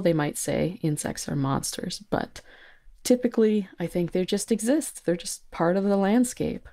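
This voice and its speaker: A woman's voice: fairly low pitch, mellow. A woman speaking as a podcast guest, explaining things in casual, plain conversational talk. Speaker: podcast guest, insect research technician.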